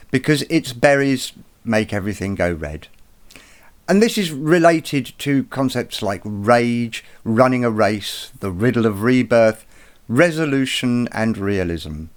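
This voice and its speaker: A man presenting an explanatory lesson, speaking in a slow, measured way and taking care over each pronunciation. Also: mid-range voice. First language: not Irish. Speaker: presenter of an educational video.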